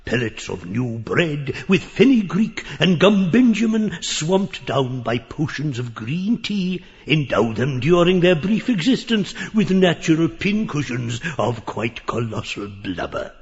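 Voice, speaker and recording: Grotesque, swooping, croaky old pedant. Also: male voice, on the high side, big pitch swings, a little slow, hardly any pauses, in a large hall, muffled recording. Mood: lecherous lecturing. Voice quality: nasal, croaking, gabbling. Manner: pompous learned lecturing, full of crowing outbursts. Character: grotesque pedantic old man, parrot-like, leering and excitable. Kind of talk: theatrical audiobook acting of a surreal stage play.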